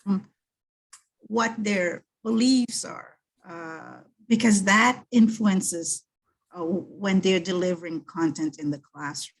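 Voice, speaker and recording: Middle-aged woman from Brazil, husky, thoughtful guest who restarts her sentences. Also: medium pitch, takes it slowly, poor audio. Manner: thoughtful, with frequent restarts and fillers. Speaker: guest, French teacher and PhD candidate. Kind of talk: casual interview chat.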